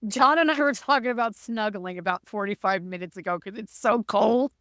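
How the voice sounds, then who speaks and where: A woman with a loud, booming voice talks in an energetic, jokey way. She co-hosts a casual podcast chat. Her voice sits at a middle pitch and sounds dry and close. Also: fairly quick, dry recording. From Arizona, USA. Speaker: podcast co-host.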